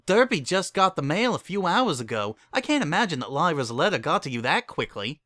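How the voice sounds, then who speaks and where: Man putting on a genteel, high noblewoman pony. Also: sing-song, a little fast, a bit bright, dry recording, studio quality. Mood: genteel, knowing. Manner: refined, knowing, polite. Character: genteel noble unicorn mare, a mother.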